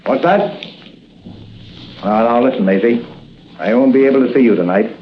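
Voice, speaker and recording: An actor playing a sneering gangster extortionist in a 1940s radio drama, on a muffled old recording with faint hiss. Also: male voice, medium pitch, normal speed, lightly acted. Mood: smug. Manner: tough, cocky street slang.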